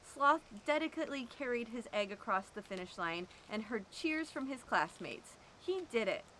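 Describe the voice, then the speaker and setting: A woman reading a children's picture book aloud, with expressive, drawn-out emphasis. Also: fairly high pitch, a little hiss or hum. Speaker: storyteller at an animal sanctuary.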